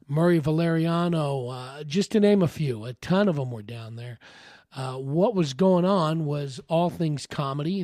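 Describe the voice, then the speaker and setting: A man hosting a casual solo podcast, hoarse from laryngitis, rambling along with many stops and restarts. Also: fairly high pitch, darkish tone, no room sound, clean studio sound. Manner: rambling, with frequent uh fillers and restarts. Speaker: podcast host.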